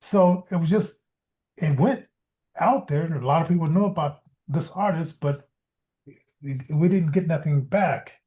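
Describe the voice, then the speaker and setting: A middle-aged or older man with a fairly high voice hosts an online show. He talks casually and loosely, halting, repeating words and chuckling as he goes. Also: dark tone. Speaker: online radio show host. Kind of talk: casual rambling livestream talk.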